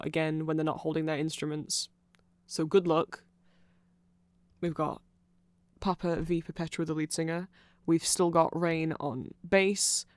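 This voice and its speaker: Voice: mid-range voice, smooth, no room sound. A person giving an informal, rambling ASMR-style explanation full of asides, close to the microphone. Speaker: ASMR audio creator.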